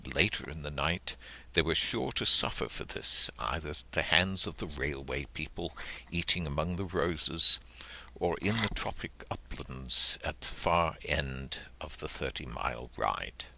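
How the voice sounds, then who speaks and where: Male audiobook narrator for classic fiction: low-pitched, gravelly, measured and expressive. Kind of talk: dramatic literary audiobook narration.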